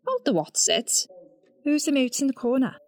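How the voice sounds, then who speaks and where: A woman's voice: sits high, sing-song, moderate pace, darkish tone. A woman playing a weary, dry-witted political staffer exasperated by colleagues in a comedy drama. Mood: nosy, dry. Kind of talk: comedy audio drama dialogue.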